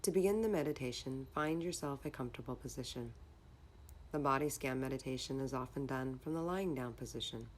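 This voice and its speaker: A woman leading a guided meditation in a calm, measured, instructional manner. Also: rumbling low, a little hiss or hum.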